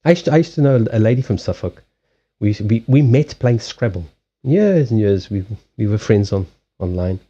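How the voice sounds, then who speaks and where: A man's voice: fairly low pitch, lively intonation, normal speed, warm tone, dull audio. A man from Mozambique with a South African accent and Portuguese as his first language, chatting casually and amusedly on a live stream. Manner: rambling, amused, self-correcting. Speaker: streamer doing card readings.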